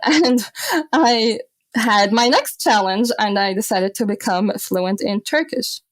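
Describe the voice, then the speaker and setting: A woman in her twenties who grew up in Austria, telling stories as a podcast guest, animated and often laughing. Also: medium pitch, no room sound. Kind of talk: casual podcast interview.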